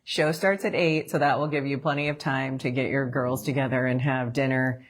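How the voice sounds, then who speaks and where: A woman's voice: a bass voice, fairly even intonation, moderate pace. A woman, co-hosting a casual morning talk show and chatting, laughing easily. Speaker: co-host.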